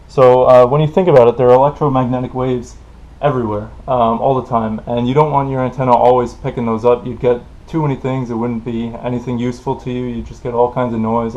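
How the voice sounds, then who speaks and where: Lower-pitched man explaining, dull lo-fi recording. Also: a little mellow. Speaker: tutorial presenter explaining technical concepts. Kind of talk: informal explainer lecture.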